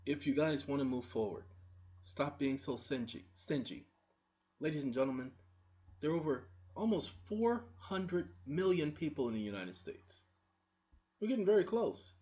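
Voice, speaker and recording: A woman hosting her own videos, lecturing her viewers in a preachy, scolding and repetitive monologue. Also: lowish voice. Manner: preachy, scolding, repetitive, talks straight to the audience. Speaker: online video host. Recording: dull lo-fi recording.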